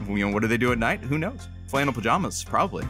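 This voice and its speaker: A man's voice: medium pitch, sing-song, very fast, a bit bright, soft background music. A man playing an easygoing, teasing radio producer in an audio drama. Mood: rambling promo. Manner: playful, teasing. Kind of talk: semi-scripted audio drama.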